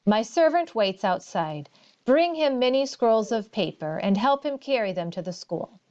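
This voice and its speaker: A woman voicing a wise, courteous old male teacher in a storybook, speaking in a formal, measured way. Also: fairly high pitch, very animated, mellow, highs cut off. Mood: generous, brisk.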